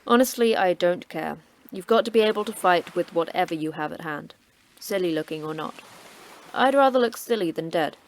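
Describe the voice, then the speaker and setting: A terse, sardonic station manager in a sci-fi horror audio drama, curt and cryptic. Her voice is low for a woman, with faint background noise under it. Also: lively intonation, moderate pace. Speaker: station manager of a secret facility.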